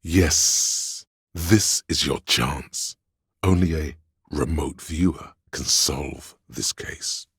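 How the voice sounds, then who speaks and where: An actor voicing a sly, theatrical inner voice in a video game, counselling his liege in grandiose, measured, gravelly and husky narration. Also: very deep voice, fairly bright tone, no echo, clean studio sound, full character voice. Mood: coaxing.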